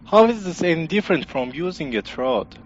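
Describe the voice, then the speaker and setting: Casting: male actor as sceptical traveler, audio drama, fairly high male voice, dismissive, muffled noisy sound. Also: big pitch swings, brisk. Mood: doubtful, impatient. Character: doubtful, impatient male traveler sceptical of new technology. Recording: dull, noisy lo-fi recording.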